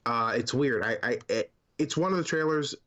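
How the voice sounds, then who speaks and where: Slightly muffled recording, male podcast co-host, mid-pitched, informal, enthusiastic, emphatic delivery. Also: normal speed, fairly bright tone. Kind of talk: casual movie talk.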